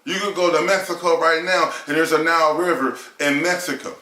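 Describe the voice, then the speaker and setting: A man's voice: on the high side, fairly even intonation, brisk, bright, forceful tone. A man in a heated casual discussion, arguing emphatically with rhetorical questions and profanity. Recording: roomy echo.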